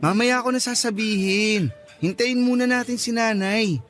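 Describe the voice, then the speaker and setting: An actor playing a playful young boyfriend in a radio drama, teasing and eager, with soft music underneath. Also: male voice, extremely high pitch, moderate pace. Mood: coaxing.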